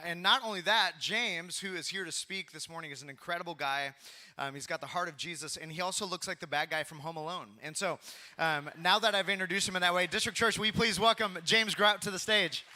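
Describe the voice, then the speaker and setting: A man's voice: a little high, bright, forceful tone. A pastor energetically introducing a guest speaker to his church, with many fillers.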